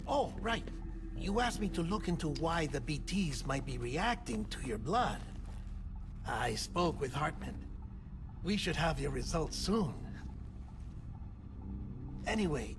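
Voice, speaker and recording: An actor playing a considerate, slightly hesitant male scientist, speaking in a measured, solicitous way in a video game cutscene. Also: fairly high pitch, sing-song, music in the background. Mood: considerate, reflective.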